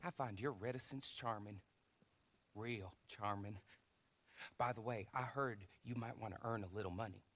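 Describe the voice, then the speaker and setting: An actor playing a polite, smooth-talking, gloomy station clerk in a western video game, speaking in a wordy, mock-courteous way. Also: male voice, on the low side, moderate pace, fairly bright tone. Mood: sly, polite.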